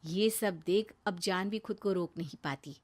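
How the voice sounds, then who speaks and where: A woman's voice: lowish voice, normal speed. A woman reading scripted narration for a moral story as its narrator.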